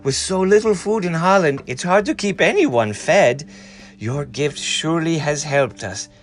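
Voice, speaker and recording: A man's voice: a little high, lively intonation, a little slow, faint music behind, voice pushed up. A man voicing an earnest, generous young girl in a storybook, speaking sincerely and carefully. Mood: earnest, thankful.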